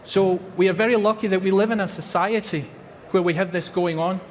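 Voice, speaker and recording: A man's voice: high voice, fairly even intonation, fairly quick, long reverb tail, a little hiss or hum. A Scottish man giving an earnest presentation at a trade fair, repeating words for emphasis. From Scotland. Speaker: company presenter. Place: large exhibition hall.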